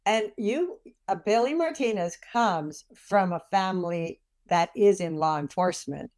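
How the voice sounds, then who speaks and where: A woman interviewer asking questions in a friendly, somewhat halting way with fillers. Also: mid-range voice, lively intonation, darkish tone.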